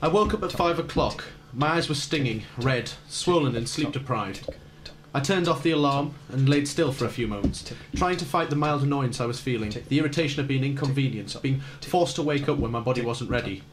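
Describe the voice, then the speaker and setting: A man's voice: mid-range voice, lively intonation, brisk, noisy background, close to an everyday voice. An actor playing a weary, fussy man in a radio play, narrating his thoughts in formal, introspective prose. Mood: weary, grudging. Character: weary, fussy man grudgingly waking too early.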